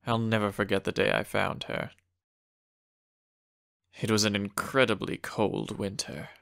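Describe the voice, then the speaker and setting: An actor playing a suave, courteous gentleman hunter in a comic dub, speaking in a genteel, theatrical way with a calm menace. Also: lowish voice, measured pace, gravelly. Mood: fond, wry. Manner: genteel, theatrical, old-fashioned endearments.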